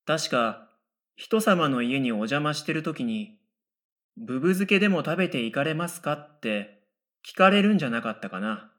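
A man playing an earnest, deadpan middle school boy in a radio drama, matter-of-fact and given to dry retorts. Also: fairly high pitch, normal speed. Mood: dry retorting. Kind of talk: radio drama first-person narration and dialogue.

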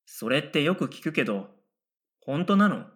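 A man playing an earnest, deadpan middle school boy in a radio drama, matter-of-fact and given to dry retorts. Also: on the high side, normal speed, breathy edge, heavily acted. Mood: dry retorting. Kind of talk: radio drama first-person narration and dialogue.

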